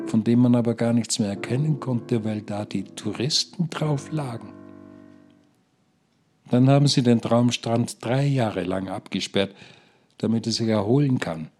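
An actor playing a stubborn, chatty retired husband who talks in a rambling, dryly persuasive, colloquial way. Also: male voice, on the low side, close to an everyday voice. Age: older. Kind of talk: satirical radio drama monologue, conversational.

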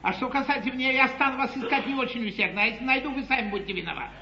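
A man's voice: extremely high pitch, very fast, a crowd in the background. An actor playing a worldly English nobleman and schemer in a 1950s stage comedy, declaiming emphatically and repeating phrases for effect. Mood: wry, emphatic. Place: theatre stage, live performance. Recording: noisy, muffled, echoey 1950s theatre broadcast.

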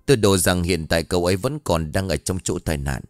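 A man playing a solemn, calm spirit master in a horror story, speaking in a grave, measured manner. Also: deep voice, no echo, close to an everyday voice.

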